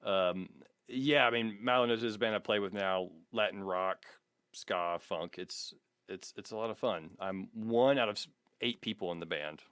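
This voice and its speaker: Male podcast host, lower-pitched and dry-sounding room, wry and self-deprecating, jokey dramatic reading and banter. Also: moderate pace, fairly bright tone, clean tone, no echo.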